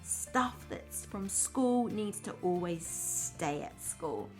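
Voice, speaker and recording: Female storyteller, primary-teacher style: unhurried, expressive read-aloud with simple asides for little kids, soft music underneath. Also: on the low side. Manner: expressive, addresses young children simply.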